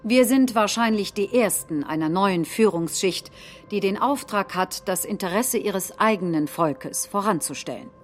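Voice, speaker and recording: A woman acting in documentary theatre, delivering nationalist political speeches in a deliberate, declamatory manner. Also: mid-range voice, lively intonation, faint music behind, natural voice. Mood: solemn, resolute. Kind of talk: staged reading of political speeches.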